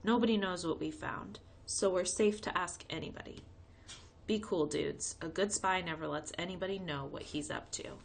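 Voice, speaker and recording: A woman's voice: on the low side, moderate pace, vocal fry, a little hiss or hum. Casting: cocky young boy gang leader for a storybook, breezy and slangy, voiced by a woman reader. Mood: confident. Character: confident, playful boy who plays the spy leader. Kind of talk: read-aloud children's storybook.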